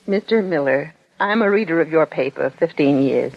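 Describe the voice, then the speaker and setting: A woman's voice: deep voice, lively intonation, normal speed. An actress playing a humble, determined mother of sixty-seven, pleading earnestly and plainly in a 1940s radio drama. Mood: earnest, insistent. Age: 60s. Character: humble, determined 67-year-old mother pleading for her son. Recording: dull lo-fi 1940s radio broadcast.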